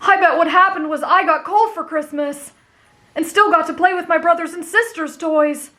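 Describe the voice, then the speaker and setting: A high, gentle teenage boy hero played by a woman from an anime audio roleplay. He is earnest, kind and naive, explaining things sincerely over faint music. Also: moderate pace. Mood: naive, cheerful.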